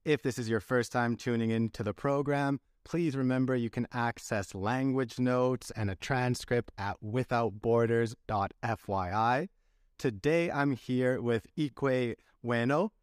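A man hosting an interview podcast, talking in a friendly, relaxed way and reading a short scripted intro. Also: medium pitch, a little slow, clean tone, no room sound, clean studio sound. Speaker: podcast host. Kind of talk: casual interview.

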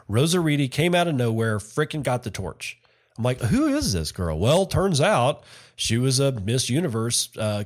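Rambling chatty male podcast host. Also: medium pitch. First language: not Finnish. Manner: rambling, self-correcting, chatty. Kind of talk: casual solo podcast commentary.

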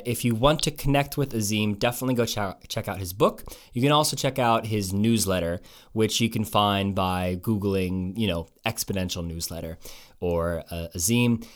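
A man's voice: lowish voice, clean tone. A man hosting a podcast who talks in a loose, chatty way, with fillers and restarts. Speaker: podcast host. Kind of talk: conversational podcast intro and interview.

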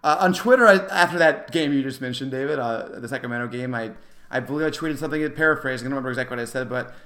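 A man's voice: medium pitch, rapid-fire, sharp tone. A man co-hosting a sports podcast, talking casually about basketball in a rambling, emphatic, self-correcting way.

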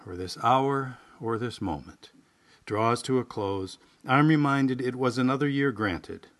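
A man hosting solo in a folksy, unhurried way, like a writer sharing his own work. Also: mid-range voice, a bit bright. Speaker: podcast host and writer. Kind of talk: casual monologue and reflective essay reading.

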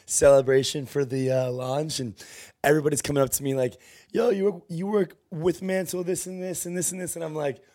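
A man's voice: a little high, fairly even intonation, fairly quick, mellow. A man hosting an interview in a loose, rambling way, with casual slang and frequent restarts. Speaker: host. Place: conference venue.

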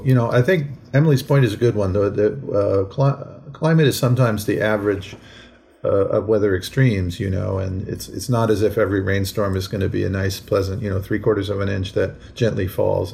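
An American man talking conversationally as a podcast co-host, in a measured, explanatory way with frequent asides. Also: fairly low pitch, vocal fry, darkish tone. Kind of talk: conversational current-events discussion. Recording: faint background noise.

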